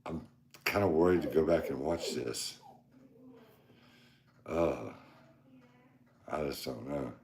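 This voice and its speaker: Deep-voiced joking podcast host man. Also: slow pace, vocal fry, long pauses, darkish tone, reverberant, slight background noise. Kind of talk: casual chat and singing.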